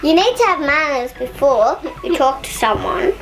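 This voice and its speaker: A woman's voice: high voice, very animated, normal speed, loud music behind the voice, low-quality recording. A young girl hosting a puppet show, playfully interviewing the puppets. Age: child.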